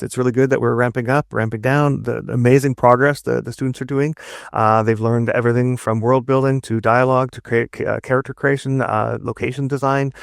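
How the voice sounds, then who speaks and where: A man's voice: mid-range voice, dry recording. Man with a very dry, close sound. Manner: halting, repetitive, explanatory delivery with doubled words. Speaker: guest, narrative designer and writer. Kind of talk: casual interview talk.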